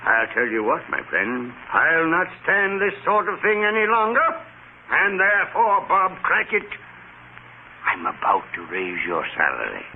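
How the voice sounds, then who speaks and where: Giddy old gentleman, wildly swooping voice. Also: on the high side, huge pitch swings, measured pace, slight background noise, full character voice. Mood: mock stern. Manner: theatrical, exclamatory, chuckling. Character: elderly miser reborn, giddy, joyful, generous old gentleman. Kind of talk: old-time radio drama acting. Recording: tinny vintage radio broadcast, faint music.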